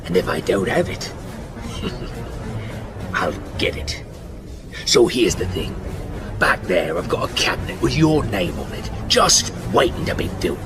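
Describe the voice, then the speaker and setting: A man playing a smug, sinister collector villain who gloats with playful, gravelly menace. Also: fairly low pitch, big pitch swings, a little slow, long pauses. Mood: gloating. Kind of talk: villain character acting. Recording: background music.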